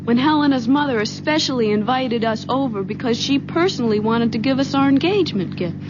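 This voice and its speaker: An eager young American bride-to-be in an old radio play. Her voice is fairly high and very expressive, earnest and affectionate, on a muffled vintage recording with car noise. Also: fairly quick. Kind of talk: 1970s radio drama acting. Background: car engine and road noise.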